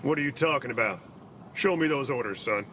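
An actor playing a gruff, bossy military lieutenant in a video game, curt and commanding. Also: male voice, medium pitch, fairly quick, sharp tone, slight background noise, close to an everyday voice. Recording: game music behind.

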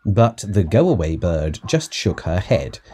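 Mellow man narrating a children's storybook. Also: low voice, a little hiss or hum. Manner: expressive, measured read-aloud. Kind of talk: scripted children's audiobook narration.

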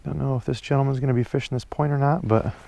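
A man's voice: mid-range voice, fairly even intonation, fast talker, gravelly, warm tone. A young man chatting casually and upbeat while fishing outdoors from a pond bank, filmed on a head-mounted action camera. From Iowa, USA. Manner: chatty, upbeat, folksy sayings. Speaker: fishing vlogger.

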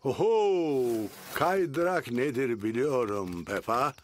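A jolly old cartoon grandpa pig, hearty and indulgent. He speaks slowly with a lively, swinging tune, over faint noise. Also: mid-range voice. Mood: jolly, reminiscing.

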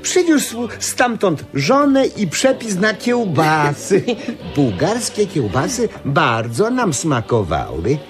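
Animated, slow-talking male storyteller. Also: high voice, over music, heavily acted. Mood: jovial. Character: cheerful male storyteller dispensing practical travel advice. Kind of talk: radio play acting.

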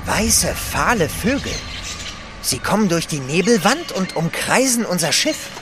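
An actor playing a nervous, deferential manservant in an adventure radio play, with jittery politeness. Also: male voice, high voice, sing-song, a little slow, music in the background. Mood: uneasy.

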